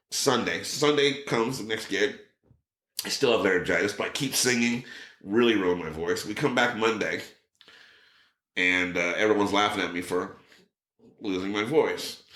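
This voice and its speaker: A middle-aged man telling a story in casual chat, acting out quotes with a raised voice. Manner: animated, acts out quotes with raised pitch. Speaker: podcast guest. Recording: roomy echo.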